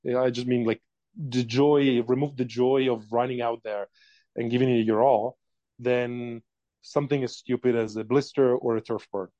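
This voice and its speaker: A man's voice: medium pitch, fairly even intonation, moderate pace, warm tone, dry recording, muffled recording. A man in his forties from Italy with an Italian accent, a company founder telling his story in a casual interview, hesitant with many fillers.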